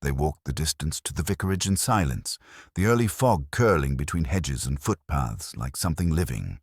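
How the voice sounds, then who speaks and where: A man's voice: extremely low pitch, animated, moderate pace, husky, no room sound. A man narrating a scripted mystery story in a dry, literary manner.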